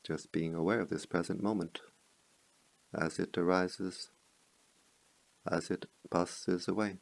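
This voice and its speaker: A man leading a guided meditation as a teacher, speaking in slow, measured phrases with pauses between them. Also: low voice, fairly even intonation, gravelly, no room sound.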